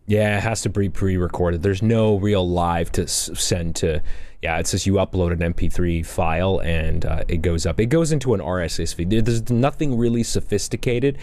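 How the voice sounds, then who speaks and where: Male producer-cohost, low and warm, dry studio sound, measured technical explainer. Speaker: producer and co-host. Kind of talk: casual tech talk show chat.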